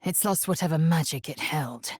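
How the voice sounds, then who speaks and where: An actress voicing a fierce, proud githyanki warrior woman in a video game, husky and breathy, with a clipped, imperious, disdainful delivery. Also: low-pitched, moderate pace, a little mellow, dry recording, clean studio sound. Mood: blunt, measured. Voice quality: husky, raspy, breathy edge. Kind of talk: video game character voice acting.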